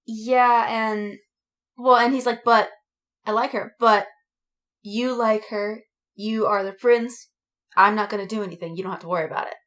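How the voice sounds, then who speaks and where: A woman's voice: medium pitch, steady tone, normal speed, a bit bright. A woman podcast co-host in a casual chat, talking in a giggly, gushing fangirl way. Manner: giggly, gushing fan enthusiasm. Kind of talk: casual chat about anime and fandom.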